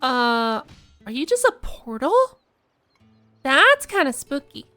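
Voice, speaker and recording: A woman's voice: sits high, very animated, slow pace, pushed and bright. A woman reacting casually to a game as a streamer, chatty and musing aloud. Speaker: gaming streamer reacting to a story. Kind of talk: casual live reaction commentary. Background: game music.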